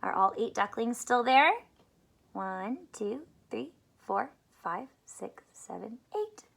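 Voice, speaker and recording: A woman's voice: a little high, lively intonation, slow, creaky, a bit bright, breathy edge. A woman teacher reading a storybook aloud to young children, expressively, with questions and asides spoken straight to them. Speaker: elementary school teacher reading aloud to students.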